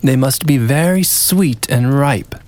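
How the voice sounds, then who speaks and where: A man's voice: medium pitch, slow, pitched above the performer's own voice, close to an everyday voice. A man voicing a cruel, spoiled young stepsister who snaps commands in a fairy tale. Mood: bossy, threatening. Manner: bossy, snapping commands.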